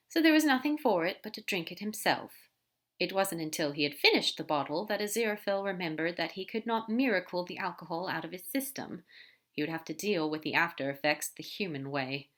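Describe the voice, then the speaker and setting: A woman narrates a lighthearted story aloud in a slightly low voice. She reads with lively emphasis and drops into soft whispers for asides. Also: brisk. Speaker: podfic reader. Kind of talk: narrating fan fiction aloud.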